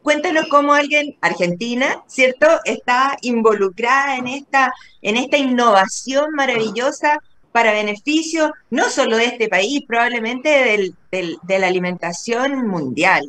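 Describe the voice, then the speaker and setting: A woman with a notably high voice hosts an interview. She asks long, winding questions, repeating herself and sounding effusive. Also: lively intonation, a little slow, a bit bright. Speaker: interview host. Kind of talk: casual interview.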